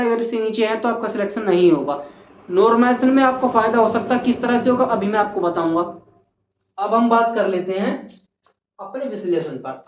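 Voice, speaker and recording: The recording is roomy and echoing, with a dulled, lo-fi sound. The voice belongs to a presenter speaking at a medium pitch. The delivery is an informal, instructive explainer for candidates, with important figures repeated for emphasis. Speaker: exam advice presenter. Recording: muffled, echoey room recording.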